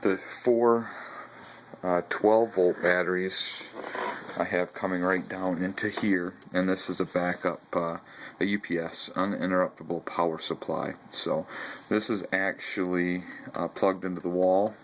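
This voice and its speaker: A man walking through his home battery and radio setup in a casual vlog, talking haltingly with many ums and self-corrections. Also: sits low, fairly even intonation, slight background noise. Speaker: video blogger showing his ham radio setup. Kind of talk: casual walkthrough narration. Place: house, garage and basement.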